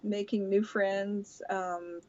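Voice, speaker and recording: An older woman who grew up in Brazil, a guest being interviewed over a video call, speaking softly and haltingly with many pauses. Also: mid-range voice, flat delivery, dull audio. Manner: soft-spoken, halting with many pauses and fillers. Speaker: guest, host's mother. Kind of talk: casual remote interview.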